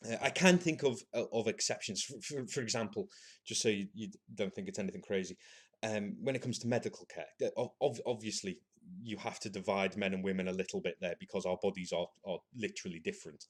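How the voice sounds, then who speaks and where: A man, possibly, hosting a solo podcast and thinking out loud in a halting, earnest way, with frequent restarts and filler words. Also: lowish voice, husky. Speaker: podcast host. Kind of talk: solo opinion monologue.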